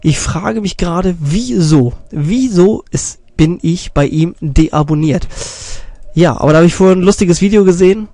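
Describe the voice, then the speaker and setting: A young man with a slightly raised voice and a mild cold talks through a channel review, clearing his throat now and then. He rambles, trips over his own sentences and fixes them as he goes. The sound is dry and close, from a stand microphone on his desk. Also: sits high, normal speed, mellow, no room sound. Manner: rambling, self-correcting, many fillers. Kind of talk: casual screen-recorded commentary.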